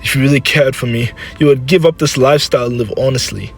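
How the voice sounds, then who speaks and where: A man's voice: medium pitch, fast talker, voice pushed up, close to an everyday voice. Guy doing a scornful upset old mother from an audio story, emotional and scolding, music underneath. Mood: bitter reproach. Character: anguished, scolding, sickly elderly mother of a warrior.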